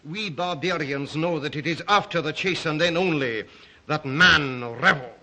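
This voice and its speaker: An actor playing a suave, predatory count with courtly, condescending manners, in old melodrama style. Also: male voice, a little high, moderate pace, bright, forceful tone. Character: suave, predatory foreign aristocrat who hunts humans, man. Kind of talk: old film-era melodrama acting.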